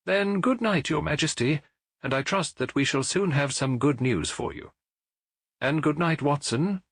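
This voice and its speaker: A man's voice: on the high side, lively intonation, measured pace, breathy edge, dry recording, clean studio sound, voice pushed up, heavily acted. A man voicing a clever, nonchalant Victorian detective in a storybook, urbane, precise and breezy. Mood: courteous. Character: brilliant, nonchalant Victorian male detective, brisk and amused.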